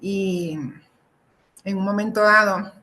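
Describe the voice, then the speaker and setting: A middle-aged woman from the Republic, presenting and reading her own poetry in a deliberate, expressive way. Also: mid-range voice, slight background noise. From Dominican Republic. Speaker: poet and professor presenting own work. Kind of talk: poetry reading with informal introductions.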